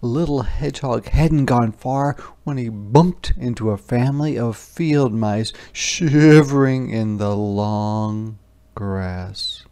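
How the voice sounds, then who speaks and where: Creaky, slow-paced children's read-aloud teacher. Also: medium pitch. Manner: expressive, explaining and questioning as to young children. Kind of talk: interactive children's story narration with casual asides.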